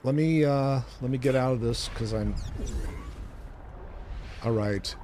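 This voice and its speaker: A man's voice: medium pitch, slow, dark tone, slight background noise. A man co-hosting a gaming show, chatting casually and thinking aloud as he plays. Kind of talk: casual gameplay chat.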